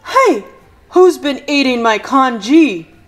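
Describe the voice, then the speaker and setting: A woman reading a storybook and voicing a loud, grumpy father who bellows and demands answers. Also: high-pitched, expressive, takes it slowly, voice pushed up. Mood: indignant. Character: loud, grumpy father bear-like Mister Chan.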